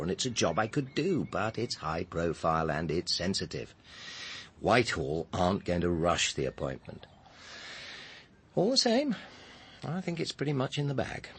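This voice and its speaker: A sardonic, ambitious English executive in a radio drama, formal and exact. The voice is creaky and very animated in pitch, over a faint background noise. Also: male voice, heavily acted. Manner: formal, dry, precise diction. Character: ambitious, coolly sardonic senior nuclear boss and lover.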